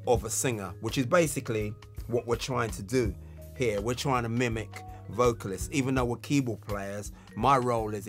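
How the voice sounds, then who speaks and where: A man giving an instructional tutorial as a music tutor, explaining technique with emphasis on key words. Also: lowish voice.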